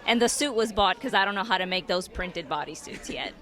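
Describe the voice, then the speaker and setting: A woman's voice: on the low side, lively intonation, a little fast, bright, forceful tone, a faint crowd. A woman cosplayer answering interview questions at a fan convention, easygoing and a little hesitant, with lots of fillers. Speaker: cosplayer guest.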